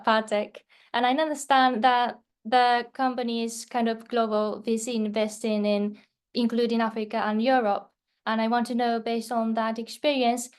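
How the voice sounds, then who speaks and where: A woman hosting a webinar interview, politely introducing her guest and asking questions. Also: fairly high pitch. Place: webinar video call.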